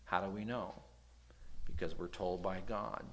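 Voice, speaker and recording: A man with a nasal voice preaching a sermon, reading scripture aloud and swinging between loud emphasis and quiet asides, with an occasional stutter. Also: sits low, fairly even intonation, a little slow. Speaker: pastor.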